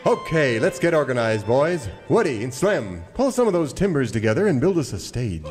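An actor playing an upright singing cowboy leader in an old radio serial parody, hearty, earnest and take-charge. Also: male voice, medium pitch, sing-song, moderate pace, a little mellow, big-room sound, faint music behind, close to an everyday voice.

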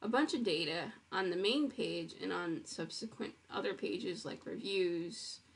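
A woman with a lowish, gravelly and husky voice talks through a software demo over her screen recording. She explains in a casual, unpolished way, pausing with ums and restarting phrases. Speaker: web developer presenting her project.

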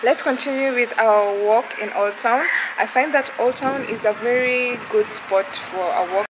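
A woman's voice: a little high, normal speed, rough recording. A young woman in her twenties with a Kenyan accent, chatting casually to a camera held at arm's length as she walks through old town streets and sits in a cafe. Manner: chatty and relaxed, with fillers. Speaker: vlogger. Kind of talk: casual vlog chat to camera.

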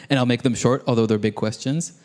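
A man's voice: mid-range voice, moderate pace, a little mellow. Male conference attendee, 30s, mid-range voice, informal question on handheld mic in reverberant auditorium. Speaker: audience questioner. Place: audience seats in large auditorium. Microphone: handheld microphone held close. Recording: reverberant hall sound.

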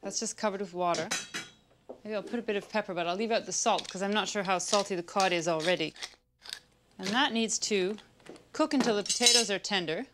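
A woman's voice: mid-range voice, fairly quick, noisy background. A woman in her thirties hosting a cooking show, chatting casually as she cooks in a home kitchen. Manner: relaxed, chatty, talking while she cooks. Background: loud music bed and sizzling pan.

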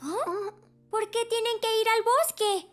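An actor voicing a curious, supportive little girl in a Latin American Spanish children's cartoon, expressive and childlike. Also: very high-pitched, slow. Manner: bright-eyed, expressive, childlike. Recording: clear music behind the voice.

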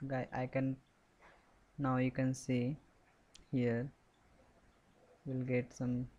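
A man giving an unscripted step-by-step software tutorial in a halting, repetitive, instructional way. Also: medium pitch, completely flat delivery, muffled recording.

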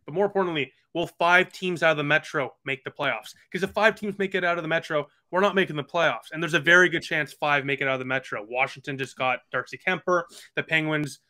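A man's voice: on the high side, brisk, few pauses, pushed and bright. A man of about twenty, chatting earnestly as a podcast guest over a video call with lots of fillers. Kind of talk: casual video call chat.

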